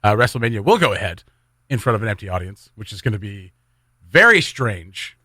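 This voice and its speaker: A man's voice: on the low side, very animated, a little fast, pushed and bright, no echo. A man hosting a morning radio show, chatting casually and jokingly, rambling loosely between community announcements.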